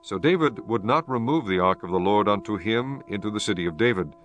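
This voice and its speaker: A man narrating scripture for an audiobook in a solemn, formal, measured style. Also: on the low side, animated, fairly bright tone, muffled recording. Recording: music behind the voice.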